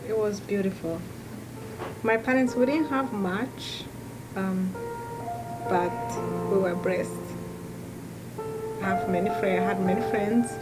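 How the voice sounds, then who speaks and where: A young woman from Rwanda, soft-spoken and halting as she tells her story in an interview, often circling back to restart a sentence. Her voice sits at an everyday middle pitch. A loud music bed plays under her throughout. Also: rough recording. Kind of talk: personal testimony interview.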